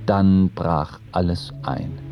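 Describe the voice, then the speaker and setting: A man narrating a radio drama in a measured, grave literary style. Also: sits low, fairly even intonation, muffled recording, music in the background.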